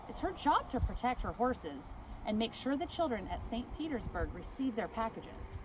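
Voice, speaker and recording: A woman reading a picture book aloud, expressive, stopping now and then to ask the listener questions. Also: mid-range voice, slight background noise, low-quality recording. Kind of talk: read-aloud storytime.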